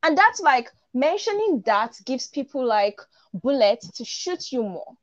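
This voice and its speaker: A woman's voice: high voice, sing-song, measured pace. A woman, speaking as a panelist in a live online discussion. She is direct and opinionated and says her piece plainly. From Nigeria. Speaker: guest panelist. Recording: dull lo-fi stream audio.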